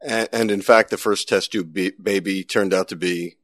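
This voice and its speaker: Man hosting podcast, slightly low voice. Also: steady tone, moderate pace, a bit bright, no echo. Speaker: podcast host and interviewer. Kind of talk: scripted intro and conversational interview.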